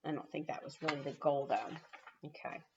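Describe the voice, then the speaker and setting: A woman's voice: low voice, a little fast, background noise. A woman kindergarten teacher reading a storybook aloud, with explanatory asides to young children, on slightly lo-fi audio.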